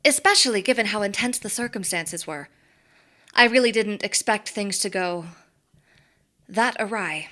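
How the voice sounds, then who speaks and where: An actress playing a composed, polite, evasive woman boss in an audio drama, speaking formally and with measured phrasing. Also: medium pitch, bright, forceful tone, natural voice. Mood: conciliatory.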